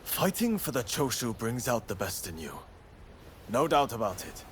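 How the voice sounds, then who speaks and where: An earnest and proud young rebel samurai voiced by a male actor from a game cutscene. His mid-pitched voice moves widely in melody, over faint background ambience. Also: very animated, moderate pace, a little hiss or hum, natural voice. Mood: grudging respect. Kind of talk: video game cutscene acting.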